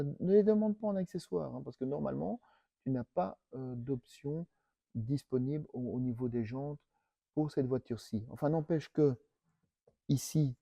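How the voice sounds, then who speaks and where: A man's voice: medium pitch, creaky, mellow, no room sound. A man with a Belgian French accent presenting a car in a casual, chatty way, talking to the viewer in familiar, slangy words.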